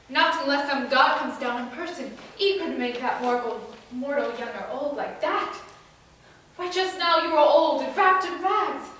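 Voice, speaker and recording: A woman's voice: sits high, fairly bright tone. A woman playing an awestruck, fearful young prince in a declamatory stage reading of epic poetry. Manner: declamatory, earnest, dramatic emphasis. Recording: distant, very echoey room, faint noise.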